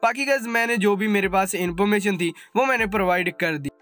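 High-pitched man presenting tech updates. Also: fairly quick, fairly bright tone. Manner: mixes English terms into speech, addresses viewers informally. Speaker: tech channel host. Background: background music.

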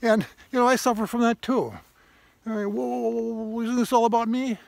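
A man in his seventies giving a thoughtful, halting informal sermon to camera as he walks outdoors, repeating phrases for emphasis. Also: extremely high pitch, fairly even intonation, gravelly, no room sound. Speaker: vlogger, retired parish priest. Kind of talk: reflective informal sermon to camera while walking. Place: outdoors on a grassy woodland trail. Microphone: handheld phone at arm's length.

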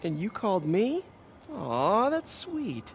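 A man's voice: high voice, wildly swooping pitch, slow, full character voice. An actor playing a wisecracking young male superhero who teases and jokes. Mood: teasing. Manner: joking, teasing. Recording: game audio with clear ambient noise.

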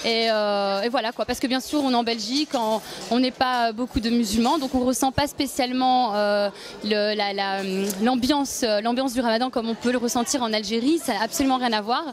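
A woman's voice: a little high, steady tone, a little fast. A young woman speaking into a handheld mic at an indoor event, talking with many fillers and restarts. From Algeria, M'sila province. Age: young adult. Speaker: youth association member. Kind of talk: on-camera interview at an event.

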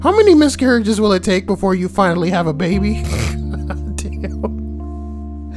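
A woman's voice: mid-range voice, lively intonation, unhurried, music in the background. A woman reading forum posts aloud and adding crude, sweary, joking commentary. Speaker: online narrator and commentator.

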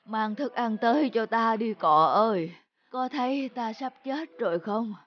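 A woman voicing a bossy, impatient, grumbling stout older man in an animated folk tale. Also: a little high, unhurried, dull audio. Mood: demanding. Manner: impatient, scolding, complaining. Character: grumpy, bossy, stout older male master.

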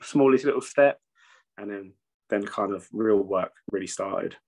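Guy in his 30s, low voice, loose and sweary, talking through a webcam at home. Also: a little slow, a little mellow. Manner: rambling, swears freely, many restarts. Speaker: metal band singer and bassist, guest. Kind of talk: casual interview over video call. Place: home room with shelves. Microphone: video call webcam.

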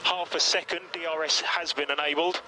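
A man's voice: a little high, steady tone, fairly quick. A man working as a race engineer, giving short updates over team radio. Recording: team radio, loud car noise.

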